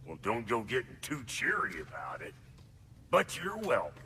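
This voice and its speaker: An actor playing a brash, gruffly kind tradesman in a game, loud, sarcastic and colloquial. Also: mid-range voice, huge pitch swings, moderate pace, in a large hall, slight background noise, heavily acted. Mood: brash, teasing. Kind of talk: animated video game acting.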